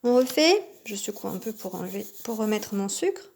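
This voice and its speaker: A woman teaching a kindergarten class by video, speaking gently and articulating clearly. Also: medium pitch, big pitch swings, normal speed. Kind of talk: teaching young children by video.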